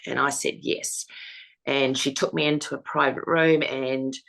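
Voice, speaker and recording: Husky-voiced woman, frank and direct. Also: very deep voice, little pitch movement, measured pace, a bit bright, rough recording. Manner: frank, matter-of-fact storytelling. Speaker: foundation founder, interviewee. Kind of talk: personal testimony in an interview.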